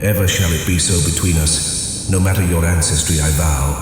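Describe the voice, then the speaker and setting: Man acting an awestruck, devoted otherworldly lover, low-voiced. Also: fairly even intonation, moderate pace, heavy reverb, big-room sound, noisy background, close to an everyday voice. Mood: tender, resolved. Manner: formal, archaic, heartfelt.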